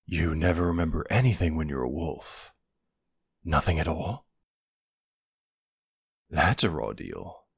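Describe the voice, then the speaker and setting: A man playing a gentle, worried boyfriend in an intimate roleplay audio drama, soothing and reassuring with a hesitant delivery. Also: animated, a little slow, long pauses, dry recording, studio quality, heavily acted. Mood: caring, reassuring. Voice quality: low, husky voice.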